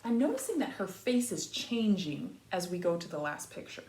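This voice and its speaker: A woman reading a picture book aloud to young children like a teacher, with expressive, emphatic phrasing and explanatory asides. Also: fairly low pitch, normal speed. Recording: echoey room, faint background noise.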